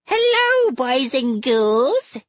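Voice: high voice, sing-song, very slow, a bit bright, no echo, pitched above the performer's own voice, full character voice. A narrator voicing a boy making a ventriloquist dummy talk in a tinny voice. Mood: silly. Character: tinny ventriloquist dummy, boy's clumsy imitation.

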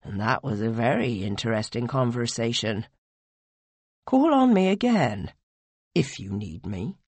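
A woman's voice: a bass voice, very animated, unhurried, darkish tone, slightly rough, dry recording, full character voice. A woman voicing a courteous male lord in an audiobook, formal and composed. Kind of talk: audiobook character acting.

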